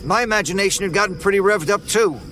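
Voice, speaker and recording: A man telling a first-person horror story in a tense, measured way. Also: high voice, sharp tone, a little hiss or hum. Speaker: narrator.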